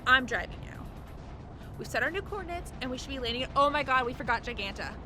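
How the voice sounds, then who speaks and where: Woman playing a high, breezy, hurried villainess. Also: animated, over music. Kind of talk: comedic superhero radio play acting.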